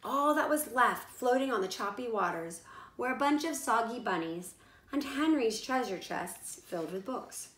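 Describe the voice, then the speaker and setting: A woman reading a children's storybook aloud in animated narration. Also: on the high side, moderate pace. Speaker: storybook reader. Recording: roomy echo.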